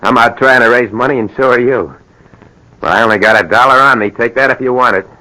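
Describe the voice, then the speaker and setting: A man's voice: mid-range voice, fast, pushed and bright, low-quality recording. An actor playing a desperate, reluctant locksmith drawn into crime, speaking in terse, uneasy, plain working-man talk in an old-time radio drama. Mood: defensive.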